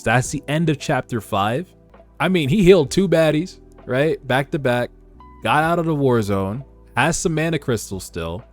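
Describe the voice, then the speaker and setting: A man hosting a read-along, mixing narration with informal, slangy, conversational commentary. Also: fairly low pitch. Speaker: host of a webtoon read-along. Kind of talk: casual reaction commentary mixed with narrated reading. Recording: music under the voice. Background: background music.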